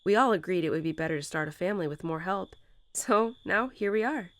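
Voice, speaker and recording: A gentle young mother wolf acted by a woman murmurs to her newborn pups in a slightly low, unhurried voice. Her manner is tender and informal, like intimate bedtime storytelling. The recording is very dry, with no room around the voice. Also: no echo, close to an everyday voice. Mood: fond, reminiscing. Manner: tender, unhurried, softly chuckling, hesitant informal phrasing. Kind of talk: soothing bedtime roleplay audio, intimate storytelling.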